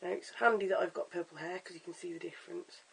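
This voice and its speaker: A hoarse, croaky-voiced vlogger giving a casual at-home wig tutorial in a chatty, stop-start way, filmed on a camera's own mic. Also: mid-range voice, steady tone, fast talker, a bit bright, rough recording. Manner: chatty, stop-start with fillers and self-corrections. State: hoarse, lost voice. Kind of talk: casual how-to demonstration.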